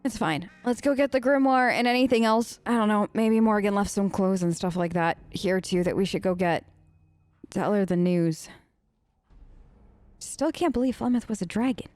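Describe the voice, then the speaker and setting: A woman gamer hosting a role-play let's play, conversational, expressive and emphatic. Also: medium pitch, normal speed, dry recording. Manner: expressive, emphatic, incredulous asides. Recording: close dry mic, faint game music. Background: faint game music.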